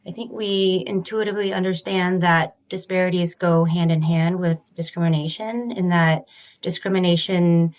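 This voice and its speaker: A woman speaks in a low, even tone with little rise and fall. She explains her research in a measured, earnest way, the pace careful and steady. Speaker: ophthalmologist, study author. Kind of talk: podcast interview answers.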